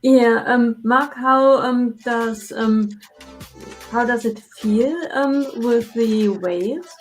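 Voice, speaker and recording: A woman co-hosting a livestream chat, speaking slowly with many hesitant fillers. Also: fairly high pitch, faint music behind, poor audio. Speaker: co-host.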